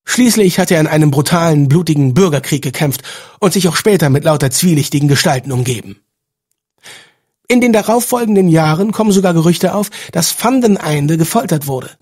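A man's voice: fairly high pitch, animated, a little fast, a little mellow, slightly rough. A man hosting a podcast, reading scripted narration.

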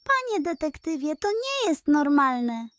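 A woman's voice: high voice, big pitch swings, measured pace, fairly bright tone, dry recording, pitched above the performer's own voice, full character voice. A woman voicing a concerned young male beaver in a children's story, earnest and insistent.

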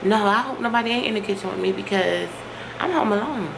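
A woman's voice: mid-range voice, quick pace. A woman in her thirties chats casually and playfully in a home kitchen, vlogging into a nearby camera with colloquial phrasing. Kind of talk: casual vlog chat. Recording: clearly noisy home video audio.

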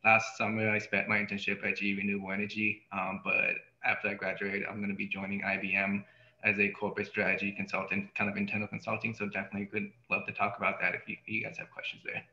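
A man's voice: fairly low pitch, completely flat delivery, quick pace, pushed and bright. An American man in his thirties giving a casual introduction as a student panelist on a video call. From California. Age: 30s. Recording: roomy, slightly dull video-call audio.

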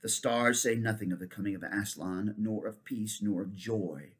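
An ancient centaur stargazer speaks gravely and slowly, in a lowered, husky voice, as a male narrator puts him on. Each warning comes out measured and solemn.

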